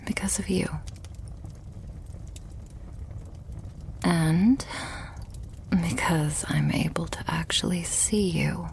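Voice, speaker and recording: A woman playing a loving, possessive vampire girlfriend in an intimate ASMR roleplay, tender and hushed, with breathy sighs between phrases. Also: lowish voice, slow, a little hiss or hum. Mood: adoring, tender.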